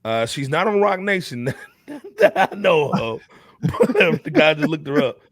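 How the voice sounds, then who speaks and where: A man giving casual hip hop commentary as a panelist, animated and slangy, often checking that listeners follow him. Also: on the high side, unhurried, no echo, studio quality. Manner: animated slangy talk with repeated check-in phrases. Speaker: talk show panelist.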